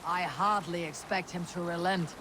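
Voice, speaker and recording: Game Viking warrior, male actor, higher-voiced, proud, vengeful, terse delivery, noisy water ambience behind. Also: fairly even intonation, moderate pace, natural voice. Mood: defiant, dry. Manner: defiant, terse, archaic phrasing. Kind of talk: video game cutscene acting.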